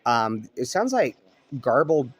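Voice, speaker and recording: A man's voice: medium pitch, slow, husky. A man hosting his own channel, chatting casually while he tunes a radio at night indoors, recorded on a cell phone. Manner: relaxed, musing commentary over radio. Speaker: shortwave radio hobbyist host. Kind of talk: casual chat.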